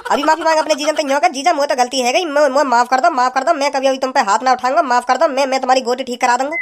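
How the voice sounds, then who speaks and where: A man's voice: very high voice, steady tone, racing. A man voicing a stern village father in a comedy cartoon, with a western Uttar Pradesh accent, insistently repeating his demands. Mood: scolding.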